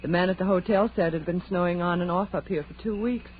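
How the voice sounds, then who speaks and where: An actress playing a prim, sensible young woman with a proper, gently scolding manner in a 1940s radio drama. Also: on the low side, quick pace, close to an everyday voice. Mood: matter-of-fact. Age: young adult. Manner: proper, matter-of-fact. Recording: noisy, tinny 1940s radio recording.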